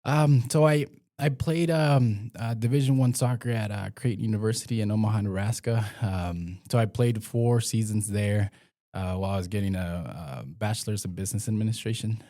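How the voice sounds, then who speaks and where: Low-voiced man with a halting delivery. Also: mellow. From Mexico. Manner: hesitant, many fillers and restarts. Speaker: community health worker and former pro soccer player. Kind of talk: casual interview conversation.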